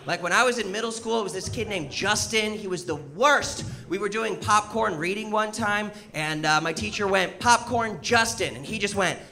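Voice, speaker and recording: An American man in his thirties doing stand-up comedy, telling stories in a casual, animated way and acting out bits of dialogue. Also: high voice, normal speed, creaky, hardly any pauses. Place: indoor comedy show on stage before an audience. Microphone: handheld microphone. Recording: live stage mic, loud audience noise. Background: audience laughter and noise.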